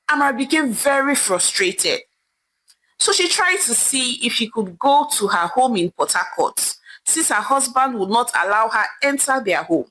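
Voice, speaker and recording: Woman, Nigerian accent, storyteller, dramatic narration, high register, sharp bright tone. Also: a little hiss or hum, poor audio.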